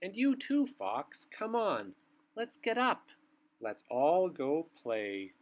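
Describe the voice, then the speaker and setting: A man voicing a gentle, playful Night in a storybook, coaxing woodland animals with eager calls. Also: sits high, huge pitch swings, slow pace, voice pushed up, heavily acted.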